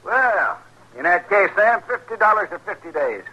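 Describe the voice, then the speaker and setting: An actor playing a crusty, irritable frontier circuit judge, folksy and blustering, with an American accent in 1950s radio western style. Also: male voice, sits high, big pitch swings, moderate pace, bright, forceful tone, slightly rough, highs cut off, exaggerated voice. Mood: grudging, wry. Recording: noisy old radio drama recording.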